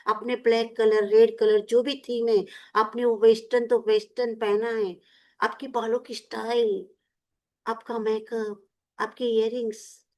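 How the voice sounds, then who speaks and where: Woman in her 50s doing a guided visualization, coaxing and chatty, on the bits. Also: fairly high pitch. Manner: warm, coaxing, repeats "yaar" often. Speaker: self-help teacher.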